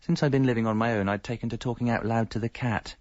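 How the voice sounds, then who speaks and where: An actor playing a hapless, self-pitying separated dad in a radio comedy, rueful and wry as if talking to himself. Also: male voice, medium pitch, steady tone, fast, darkish tone, dry recording, highs cut off, natural voice. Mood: wry, downcast. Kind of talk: radio comedy monologue and dialogue.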